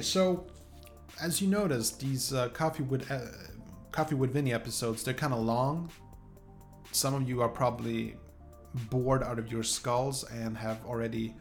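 Gravelly man chatting casually to viewers. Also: medium pitch. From Sweden. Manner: halting, rambling, informal. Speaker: YouTuber. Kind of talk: casual chat to viewers, unscripted.

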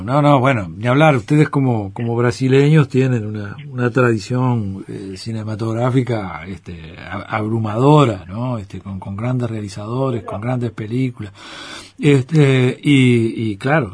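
A man's voice: mid-range voice, a little slow, a little mellow, husky, no echo. A man hosting a radio interview, asking chatty, filler-heavy questions. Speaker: radio host.

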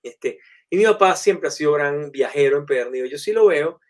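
A man tells stories in a loose, animated way, full of fillers and asides. His voice sits a bit higher than most men's. The tone is chatty and conversational. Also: normal speed. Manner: animated, anecdotal, many fillers. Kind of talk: casual storytelling in conversation.

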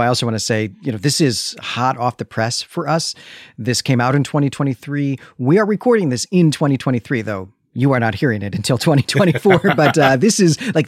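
A man's voice: mid-range voice, no room sound. Explanatory male podcast host, dry close sound. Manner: explanatory, checks in with "right?" and "you know". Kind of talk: conversational explanatory podcast talk.

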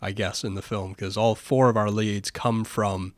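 Low-ish guy on a podcast mic, blunt and wry, super dry sound, just chatting about a movie. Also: steady tone, moderate pace, dry recording. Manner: wry, blunt, self-mocking. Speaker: podcast co-host. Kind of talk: casual film discussion.

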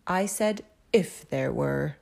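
Woman doing a pompous know-it-all royal mathematician, slow, precise, grand, gravelly edge. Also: low voice, lively intonation, darkish tone, full character voice. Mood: pedantic. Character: pompous, pedantic old male royal mathematician.

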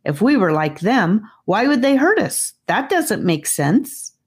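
Woman playing an indignant young girl, animated pitch. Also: unhurried, slightly rough. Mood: frustrated, indignant. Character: frustrated, indignant young girl defending herself.

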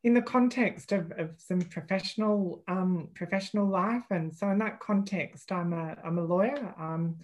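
A woman's voice: on the low side, normal speed, few pauses, a little mellow. A middle-aged Australian woman, an employment lawyer speaking as a podcast guest, thoughtful and hesitant, with many fillers and restarts. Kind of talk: podcast interview.

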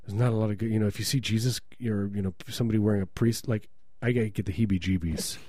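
Mellow-voiced joking man, dry close mic. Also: fairly low pitch, fairly even intonation, quick pace, husky, dry recording. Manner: joking, slips into silly put-on voices. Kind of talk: improvised comedy banter.

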